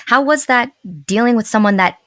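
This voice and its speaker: A woman's voice: fairly high pitch, brisk, dry recording. A woman hosting a podcast, introducing and questioning a guest in a welcoming way. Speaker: podcast host. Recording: dry with faint music.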